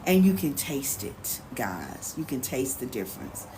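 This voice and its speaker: Animated, sassy American woman livestreaming. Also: extremely low pitch, a little slow, poor audio. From United States. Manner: animated, sassy, colloquial, repeats catchphrases. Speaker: live stream host. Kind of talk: casual livestream chat, answering viewer questions. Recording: clear background noise, ambient sound.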